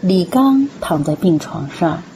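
一位女性旁白，照着稿子讲述广播剧故事。. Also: female voice, on the low side, lively intonation, measured pace, vocal fry, dark tone, a little hiss or hum. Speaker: narrator. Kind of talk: scripted narration of an audio drama.

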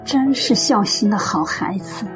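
A woman acting a kindly, earnest middle-aged auntie in a radio drama, speaking in gentle, encouraging praise. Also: medium pitch, lively intonation, unhurried, music in the background, natural voice.

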